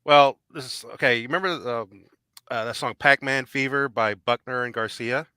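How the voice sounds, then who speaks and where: A man's voice: mid-range voice, moderate pace, sharp tone, clean tone, no echo. A man chatting casually on a panel, sharing bits of trivia in an informative way. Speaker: podcast panelist. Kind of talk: casual chat.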